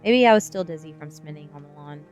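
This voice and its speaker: A woman reading a first-person novel aloud in an ASMR storytelling style, with expressive narration. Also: low-pitched, quick pace. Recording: music behind the voice. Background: music.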